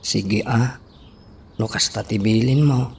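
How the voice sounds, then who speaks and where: A man playing a gentle, wistful, recovering singer in an Ilocano radio drama, speaking in a gravelly voice. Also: lowish voice, slow. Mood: wistful, subdued.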